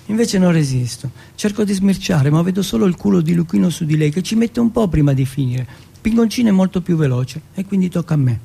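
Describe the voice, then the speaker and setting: Gravelly, husky man telling his story. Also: high-pitched, lively intonation, fairly quick, mellow. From Turin, Italy. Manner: colloquial, streetwise. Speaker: monologue performer. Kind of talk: theatrical autobiographical monologue.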